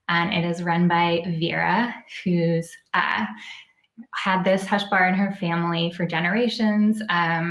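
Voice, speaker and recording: A woman speaks in a very echoey room, her voice sitting a little low. She is an author explaining things as an interview guest, in a casual, informal way peppered with small filler phrases. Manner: informal, with frequent "like" and "kind of". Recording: very roomy, echoey sound.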